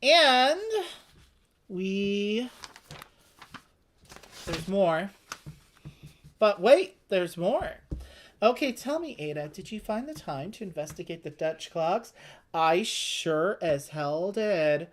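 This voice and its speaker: Unhurried board game co-host, medium pitch. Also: lively intonation, slight background noise. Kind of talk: casual game commentary.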